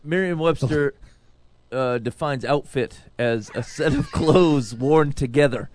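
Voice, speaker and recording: A man's voice: medium pitch, measured pace, a little mellow. A man co-hosting a podcast with casual joking banter and a wry, mock-formal way of putting things. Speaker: podcast co-host.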